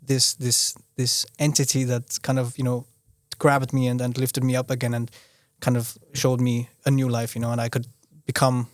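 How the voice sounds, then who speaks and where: A young man who grew up in Austria chats as a guest on a podcast, his speech halting and full of restarts. His voice sits at a middle pitch for a man and is recorded close and dry, with no room sound. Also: monotone, no room sound. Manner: halting, restarts often, leans on "you know". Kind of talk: casual conversational interview.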